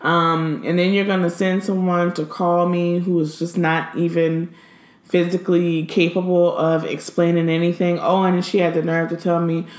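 Thirties woman, frank indignant vlog monologue, slightly low voice, roomy echo. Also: level tone. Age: 30s. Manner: emphatic, indignant, stresses words slowly. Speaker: pregnant vlogger. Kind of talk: casual venting monologue.

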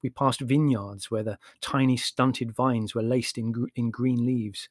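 A man narrates literary prose in a storytelling style. His voice sits at a middle pitch. The recording is dry, with little trace of a room. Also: fairly even intonation, a little slow, no room sound. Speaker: audiobook narrator.

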